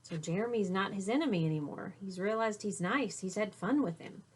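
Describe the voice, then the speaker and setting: A woman reading a children's storybook aloud like a teacher, expressive and deliberate, stressing key words. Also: medium pitch, husky.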